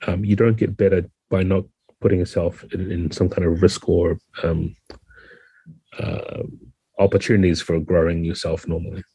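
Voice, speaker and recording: A man's voice: low voice, steady tone, normal speed, dark tone, breathy edge, no room sound. A man speaking as an interview guest, explaining things in a thoughtful, methodical, conversational way. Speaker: podcast guest, game designer.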